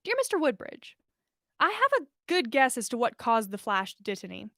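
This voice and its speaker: High-voiced woman reading a story aloud. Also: very animated, normal speed, fairly bright tone, no echo, studio quality. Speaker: podcast host reading fan fiction aloud. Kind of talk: expressive storybook narration.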